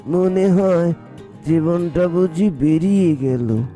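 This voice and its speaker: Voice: mid-range voice, slow, mellow, natural voice. A performer voicing an ailing, weary villager in a cartoon, weakly complaining. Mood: weary suffering. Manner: weak, complaining. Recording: music under the voice.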